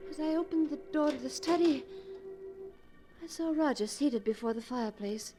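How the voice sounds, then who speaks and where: A woman's voice: sits high, normal speed, natural voice. A woman acting an anxious, devoted wife in an eerie 1940s radio melodrama, theatrical and earnest. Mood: haunted. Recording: vintage 1940s radio recording with music.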